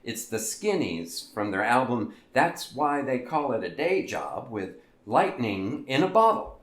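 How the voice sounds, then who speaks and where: A man's voice: mid-range voice, sing-song, a little slow, fairly bright tone. A man playing a quirky, wisecracking host of a weird-tales podcast drama, with a folksy, theatrical delivery. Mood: playful, hyped. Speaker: show host. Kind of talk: scripted podcast drama in a radio-show format. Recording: roomy, echoing voice recording.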